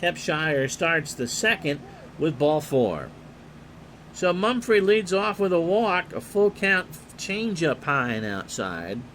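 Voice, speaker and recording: Slow, calm baseball play-by-play man. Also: fairly high pitch, slight background noise. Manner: calm, unhurried, reads player stats and chats with viewers. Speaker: play-by-play announcer and streamer. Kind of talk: replay baseball play-by-play commentary.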